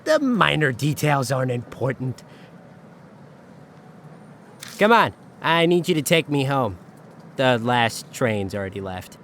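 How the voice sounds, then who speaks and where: A man's voice: mid-range voice, sing-song, normal speed, a bit bright, slight background noise. A man playing a composed, coolly threatening young gang heir in a visual novel fan dub, speaking politely and in a businesslike way. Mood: casual, assured.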